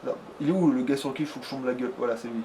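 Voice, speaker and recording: A man's voice: medium pitch, very fast, background noise. A man giving casual, slangy and foul-mouthed gaming commentary in a rambling way. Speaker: let's play host and player.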